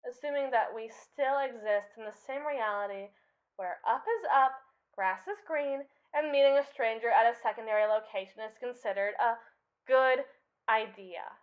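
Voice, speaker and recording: A woman's voice: fairly high pitch, moderate pace, dull audio, natural voice. A woman playing a haughty, sarcastic evil female robot with a pompous, wordy, theatrical manner in an audio roleplay. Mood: sarcastic, incredulous. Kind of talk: ASMR audio roleplay monologue.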